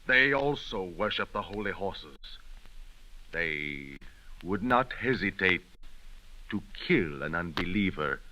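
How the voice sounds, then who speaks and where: A man's voice: low voice, very animated, slow pace, sharp tone, full character voice, poor audio. A man acting an imperious, menacing Moroccan sultan in a 1930s radio drama, speaking in formal, commanding declamation.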